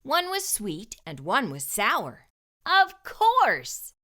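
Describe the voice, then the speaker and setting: Woman, children's storybook reader, high-pitched, lively swooping intonation, deliberate emphatic phrasing. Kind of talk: read-aloud storytelling for young children.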